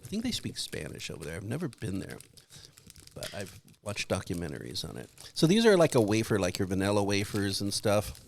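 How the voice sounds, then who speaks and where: A man's voice: on the low side, wildly swooping pitch, fairly quick, vocal fry, a little hiss or hum. A man co-hosting a podcast, chatting casually and jokily in a rambling way while he tastes snacks. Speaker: podcast co-host.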